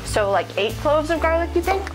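A woman's voice: high-pitched, very animated, a little fast, music in the background, poor audio. A woman in her thirties chatting casually and playfully as she co-hosts a home cooking video, with a sarcastic streak. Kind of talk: casual banter while cooking. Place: home kitchen.

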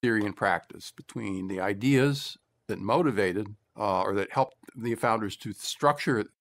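A man's voice: fairly low pitch, normal speed. A man speaking as a lecturer, in the style of a lecture.

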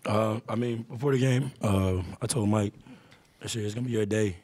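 A young man, a college basketball player answering at a postgame press conference into a table mic, halting and repeating his words. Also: fairly low pitch, a little mellow. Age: young adult. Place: press room in front of a sponsor backdrop.

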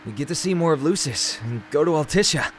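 Man acting a higher-voiced sarcastic young prince. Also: animated, quick pace, slight background noise, natural voice, low-quality recording. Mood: casual, reassuring. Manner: offhand, sarcastic. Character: sullen, sarcastic young prince, bickering brother. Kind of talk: fan audio drama acting.

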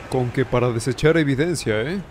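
A man's voice: medium pitch, fairly quick, heavy background noise, lightly acted. A man playing a menacing, crude and sarcastic masked vigilante in a fan-made comedy cartoon dub, with a blunt, threatening manner. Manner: blunt, threatening, foul-mouthed.

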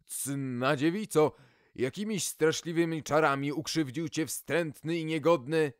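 A man's voice: fairly high pitch, measured pace, smooth, no room sound, studio quality, pitched above the performer's own voice, close to an everyday voice. A man puts on a foolish but bold knight from a fairy tale. He declaims in a raised, grandiose voice, full of pompous gallantry. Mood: shocked gallantry. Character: bold, dim-witted, pompous knight errant.

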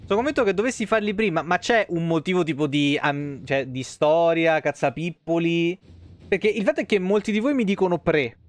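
A man's voice: a little high, brisk, no echo. A man, live streaming casually in slangy, foul-mouthed talk and reading game lore aloud. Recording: music playing behind the voice. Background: soft tavern guitar music.

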